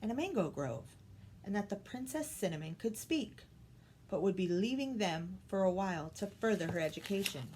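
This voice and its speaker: A woman's voice: medium pitch, expressive, normal speed, darkish tone, slight background noise, low-quality recording. A woman storyteller reading a children's story expressively.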